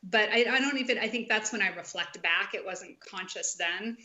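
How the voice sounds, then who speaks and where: A woman's voice: medium pitch, normal speed, sharp tone, muffled recording. A woman from Hawaii, a guest on a podcast, talking casually and rambling with lots of fillers. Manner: chatty, rambling with many fillers. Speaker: podcast guest, spring water business owner. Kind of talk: casual interview conversation.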